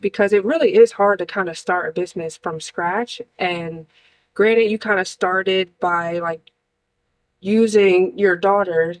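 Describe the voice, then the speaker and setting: A woman's voice: mid-range voice, steady tone, unhurried. A woman from New Jersey hosting a casual interview show, upbeat and speaking straight to her audience. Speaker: host.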